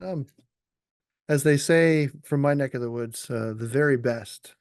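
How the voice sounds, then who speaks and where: A man from eastern Canada hosts a casual podcast, talking in a loose, unhurried way with plenty of fillers and easy laughter. His voice sits mid-range for a man and the sound is dry, with no room around it. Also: medium pitch, a little mellow, smooth, dry recording. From New Brunswick, Canada. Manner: easygoing, many ums and uhs, laughs often. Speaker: podcast host. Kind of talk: casual interview chat.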